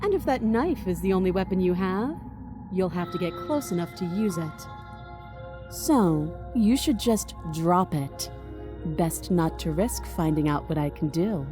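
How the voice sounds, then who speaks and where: An actress playing a cold, defiant captive princess in a video game, taunting and deliberate with quiet menace. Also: female voice, on the low side, animated, over music. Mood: cool, taunting.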